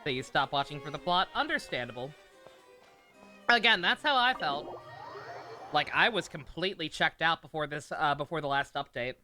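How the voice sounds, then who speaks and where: A woman game streamer with a bright, fairly low voice. She chats casually and wryly in a laid-back way, with faint music playing behind her. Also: animated, normal speed. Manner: laid-back, wry, chatty. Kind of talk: casual livestream chat and commentary.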